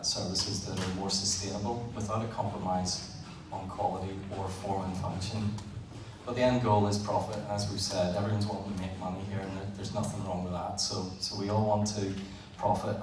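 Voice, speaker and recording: A Northern Irish man presents to an audience in a large hall, with a low, flat voice that hardly rises or falls. He sounds far from the mic, and the room's echo and background noise come through clearly. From Northern Ireland. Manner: plain, a little halting, with frequent "uh" and repeated words. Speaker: engineer presenting at an event. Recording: roomy, distant event recording with background noise.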